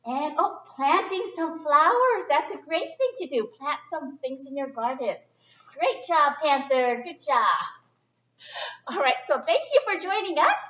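A middle-aged woman reads a picture book to children in a high, very lively voice. She is expressive and chatty, dropping in informal asides with simple phrasing. The sound is distant and echoey, picked up by a camera mic across the room. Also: highs cut off. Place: indoor library children's area. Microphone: distant camera microphone.

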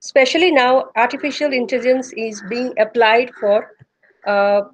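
A woman with an Indian English accent speaks over a webcam video call, her pitch sitting a little high. She answers steadily and pauses often on "uh" as she gathers her thoughts. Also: a little hiss or hum, rough recording. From Northeast India. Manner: measured, with frequent "uh" pauses. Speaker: embryologist guest.

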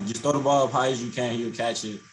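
A young man, a college basketball player, answering reporters on a video call after a game, relaxed and full of "you know" fillers. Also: medium pitch, fast talker, faint music behind, poor audio. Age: young adult. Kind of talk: postgame press conference answers. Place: indoors in front of a logo backdrop.

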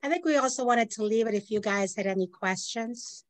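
Woman on a video call, halting speech. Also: fairly high pitch, dry recording. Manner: halting, restarts often, many fillers. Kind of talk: casual discussion on a video call.

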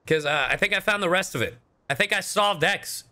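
A man's voice: sits high, brisk, pushed and bright. A young man doing casual livestream commentary as a game streamer, sarcastic, joking and sweary.

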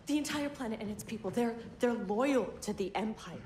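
A woman's voice: a little high, a little fast, a little mellow, roomy sound, a little hiss or hum, natural voice. An actress playing a defiant female Imperial commander in a video game, intense and challenging. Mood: shocked, protesting. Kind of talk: video game cutscene acting.

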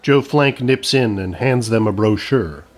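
A man's voice: fairly low pitch, measured pace. A man reading scripted narration for a comedy audio drama. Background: music.

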